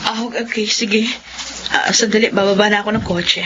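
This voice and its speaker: A woman's voice: mid-range voice, steady tone, normal speed, fairly bright tone, muffled recording, a little hiss or hum, natural voice. An actress playing a polite, grateful young woman in a radio drama. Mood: earnest. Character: young woman with a transplanted heart.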